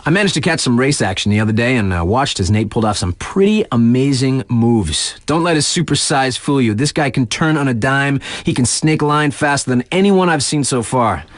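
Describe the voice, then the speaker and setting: A man's voice: medium pitch, expressive, brisk, hardly any pauses, no room sound, close to an everyday voice. A man playing a hip, laid-back radio DJ in a video game, giving casual, slangy sports patter with playful conversational asides. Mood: hyped, admiring. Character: hip, laid-back male radio DJ covering snowboard races.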